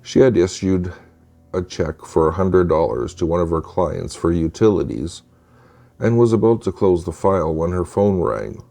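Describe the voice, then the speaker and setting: Deep, mellow-voiced male storyteller. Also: moderate pace, gravelly. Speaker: narrator of true scary stories. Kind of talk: scripted storytelling narration.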